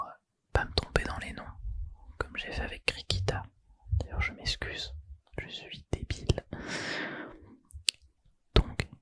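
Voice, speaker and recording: Young man, soft whisper, dry close sound, slangy casual ASMR talk, self-mocking. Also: dry recording. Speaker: ASMR YouTuber.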